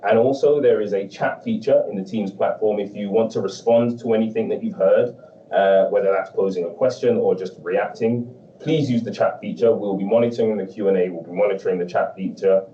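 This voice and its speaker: Deep-voiced male moderator on a video call, measured and chatty, heard from afar in an echoey room. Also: steady tone, mellow, a little hiss or hum. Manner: measured, conversational, fond of "right?". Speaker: panel moderator. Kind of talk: hosting an online panel. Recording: dull, distant, roomy call audio.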